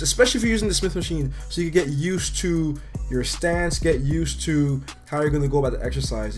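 Hoarse young man vlogging in a gym. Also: a little high, darkish tone. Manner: chatty, rambling, with laughs. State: hoarse, losing his voice. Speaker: fitness vlogger and trainer. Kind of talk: casual workout vlog talk. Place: gym, indoors.

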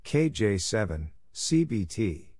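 Slow, low-voiced man reading radio drills. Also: very animated, mellow, husky, no room sound, clean studio sound. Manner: measured, deliberate, repeats short phrases. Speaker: narrator of Morse code practice drills. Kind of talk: scripted readout of radio exchanges.

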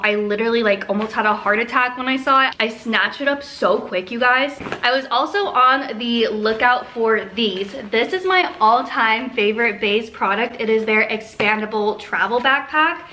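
A woman, chatting to camera in a gushing, excited, emphatic way, like a casual haul vlog filmed at home. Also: fairly high pitch, slight background noise. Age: 20s. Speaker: vlogger. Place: living room at home. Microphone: camera a short way in front of her.

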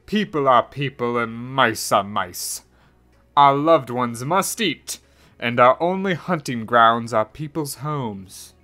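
Storyteller playing easygoing scoffing mouse buddy, bright voice, unhurried, matter-of-fact, storybook character. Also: medium pitch, expressive. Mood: resigned. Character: dismissive, shrugging, loyal male mouse friend.

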